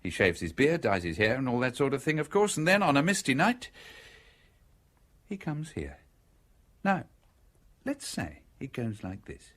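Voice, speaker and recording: An actor in a radio drama playing a cool, wry, persuasive man who speaks with deliberate irony in a husky voice. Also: medium pitch, sing-song, fairly bright tone, exaggerated voice. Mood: deliberate, theatrical.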